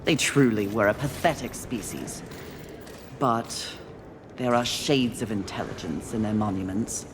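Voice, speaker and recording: Game role: stern female warrior, imperious, clipped and cold, with noisy rumble behind her. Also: measured pace. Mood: grave, scornful. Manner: curt, cold, commanding. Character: stern, haughty female warrior on a grim mission. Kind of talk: video game cutscene dialogue.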